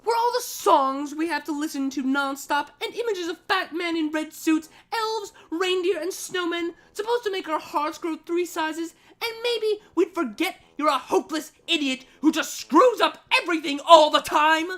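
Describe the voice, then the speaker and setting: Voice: extremely high pitch, normal speed, hardly any pauses, a bit bright, pitched above the performer's own voice. A performer voicing an irritable, bossy female siren with a sarcastic, exasperated manner for a story reading. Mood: sarcastic, scornful. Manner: sardonic, snapping, exasperated.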